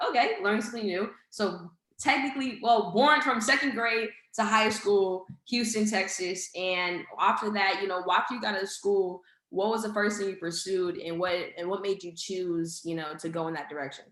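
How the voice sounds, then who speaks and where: Woman podcast host, mid pitch, echoey room sound, chatty and upbeat. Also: moderate pace, hardly any pauses, a bit bright. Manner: upbeat, filler-heavy questioning. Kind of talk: casual interview hosting.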